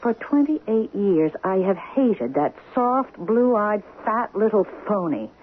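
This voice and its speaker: An actress playing a bitter, domineering, scheming woman in a 1970s radio drama, husky and sardonic, on a thin old radio recording. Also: medium pitch, expressive, slow, phone-quality sound, slight background noise. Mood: bitter, venomous. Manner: pointed, sardonic, demanding. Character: bitter, domineering, scheming half-sister and secret lover.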